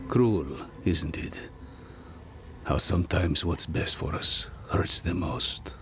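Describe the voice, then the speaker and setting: A man playing a brooding, solemn spiritual warrior in a video game, with a grave, portentous and deliberate way of speaking. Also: low-pitched, animated, noisy background, exaggerated voice. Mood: grim, foreboding. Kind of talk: dramatic video game acting. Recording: loud music under the voice.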